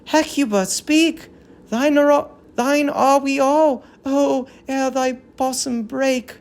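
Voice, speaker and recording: Woman as a slow, wailing tragic chorus woman. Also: high voice, fairly even intonation, darkish tone, pitched above the performer's own voice. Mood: urgent pleading. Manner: chanting, lamenting verse delivery. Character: chorus of wailing captive women, devoted, mournful. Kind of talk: dramatic reading of Greek tragedy.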